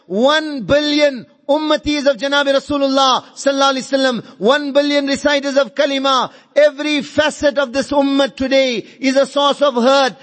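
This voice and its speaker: High-pitched man preaching in a reverberant hall. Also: a bit bright. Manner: fervent, emphatic, repeats phrases for effect; mixes Arabic and Urdu phrases into English. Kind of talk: impassioned Islamic sermon. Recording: long reverberant hall echo.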